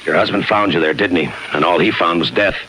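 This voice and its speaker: An actor playing a terse, unsentimental American police lieutenant in a clipped, deadpan 1950s radio crime drama style. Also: male voice, low voice, fast talker. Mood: accusing, cool. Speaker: lead actor and narrator. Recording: noisy 1950s radio broadcast recording.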